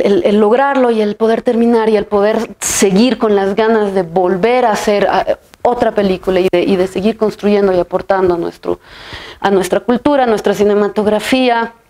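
A woman in her thirties, a filmmaker from Bolivia, sits in a bright room and answers an interviewer's questions. Her voice sits in an ordinary middle pitch. She speaks reflectively, pausing on fillers and tagging her thoughts with a soft questioning "right?". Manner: thoughtful, many restarts and fillers, ends phrases with "¿no?". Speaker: filmmaker and writer. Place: bright room with a plain wall, seated in an armchair.

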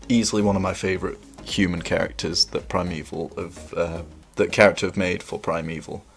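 Man, congested from a cold, gravelly, enthusiastic toy reviewer, indoors, camera mic. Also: sits low. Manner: enthusiastic, chatty. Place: indoors at a table, hands on camera.

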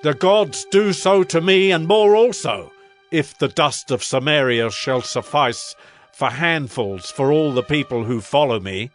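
A man's voice: on the high side, expressive, a little slow, faint music behind. An actor playing an arrogant, warlike ancient king with a boastful, commanding manner in an audio Bible drama. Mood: boastful threat.

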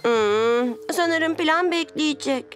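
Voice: high voice, extremely slow, a little hiss or hum. An actor voicing a keen but unsure little boy superhero in a children's cartoon dub, earnest and tentative. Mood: hesitant. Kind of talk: animated children's cartoon dubbing. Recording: music under the voice. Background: cartoon score.

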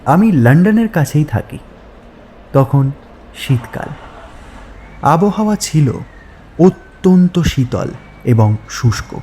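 Guy reading a ghost story real slow, soft mellow voice, long pauses, faint night insects behind. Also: a little high, very animated, slight background noise. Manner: deliberate, pausing between short phrases. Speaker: story narrator. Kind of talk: scripted horror story narration.

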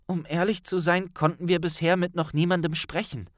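A man voicing an earnest young witch, careful and gentle, in a fantasy audiobook. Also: sits high, level tone, moderate pace, pitched above the performer's own voice, close to an everyday voice. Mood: urgent, soothing.

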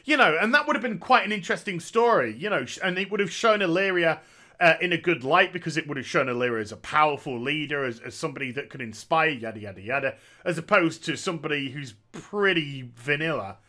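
A man's voice: on the high side, expressive, fast, sharp tone. A man, giving sarcastic, opinionated commentary on a game from a small room, speaking into a headset. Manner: sarcastic, opinionated, jokey asides. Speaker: gaming commentator.

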